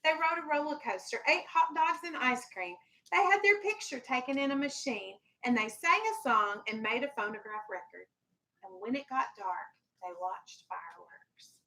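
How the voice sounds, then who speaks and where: Woman, elementary teacher, bright clear-cut tone, slightly high pitch, firm, storybook read-aloud. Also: animated, moderate pace, rough recording. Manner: firm, instructive. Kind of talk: reading a children's storybook aloud to a class, with questions. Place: classroom.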